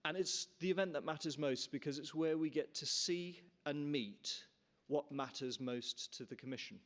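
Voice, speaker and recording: A man's voice: a little high, moderate pace, fairly bright tone. A middle-aged man from Canada, the chair, giving an earnest formal welcome speech in a conference hall with frequent restarts and fillers.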